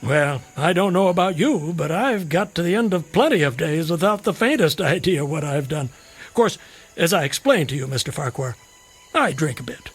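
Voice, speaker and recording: A man's voice: fairly high pitch, expressive, normal speed, slightly rough. An actor playing a wry, flippant male traveler who likes a drink, in an old-time radio drama, breezy and teasing.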